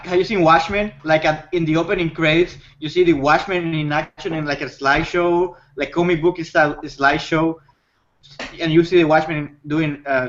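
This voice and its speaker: A man with a fairly high voice chats as a panelist in a casual group discussion. He speculates with enthusiasm. The recording sounds dull and lo-fi.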